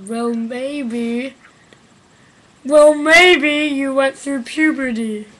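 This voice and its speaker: A woman's voice: high-pitched, drawn-out words, fairly bright tone, exaggerated voice, low-quality recording. A woman voicing a blunt, dismissive monkey policeman puppet in a homemade webcam puppet show from a small bedroom.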